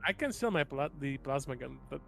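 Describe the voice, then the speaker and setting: Creaky-voiced man chatting over a tabletop game. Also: mid-range voice, lively intonation, fairly quick, faint music behind. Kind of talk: casual chat.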